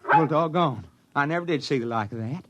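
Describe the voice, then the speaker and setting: A man's voice: fairly high pitch, a little fast, lo-fi sound, very noisy, natural voice. An actor playing a folksy, bumbling army enlisted man with a drawl in an old radio drama. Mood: amazed. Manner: drawling, ungrammatical, bumbling deference to officers. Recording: vintage radio drama recording.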